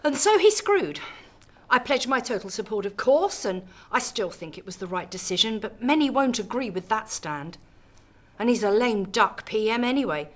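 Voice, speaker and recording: A woman acting in a radio drama as a calculating, ambitious politician, measured, dry and self-assured. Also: on the high side, lively intonation. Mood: scheming, smug. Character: cool, ambitious, calculating female government politician.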